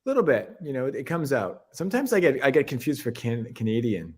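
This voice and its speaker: A man's voice: mid-range voice, very animated, fairly quick, darkish tone, slightly rough. A man with a Boston accent, a guest telling stories in a laid-back way during a casual interview.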